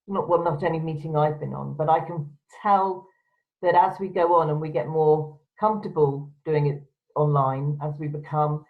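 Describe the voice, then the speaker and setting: A woman presenter giving instructional advice to camera in a firm, direct, emphatic way. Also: sits low. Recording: dull, lo-fi sound.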